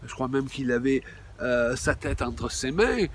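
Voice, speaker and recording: A man in his sixties, a pastor, giving an animated video sermon, exclaiming often and pausing with many fillers. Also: mid-range voice, a little hiss or hum. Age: 60s.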